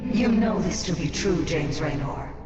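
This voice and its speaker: An actress playing a proud, stern alien warrior commander in a video game, speaking formally and imperiously with an echoing effect. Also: female voice, fairly low pitch, animated, a little slow. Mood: stern, solemn. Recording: echoey, dull audio under loud music.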